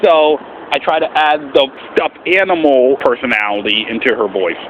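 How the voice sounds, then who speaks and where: A man talking casually and earnestly in a vlog as an amateur voice actor, with an animated, swooping delivery. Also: mid-range voice, normal speed. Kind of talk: casual vlog explanation with voice demonstrations. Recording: muffled, faint background noise.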